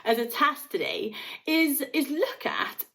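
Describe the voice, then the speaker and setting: A woman schoolteacher addresses young pupils with storybook narration and informal explanation. Her voice sits fairly high and moves expressively up and down. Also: lively intonation, a little slow, bright, forceful tone.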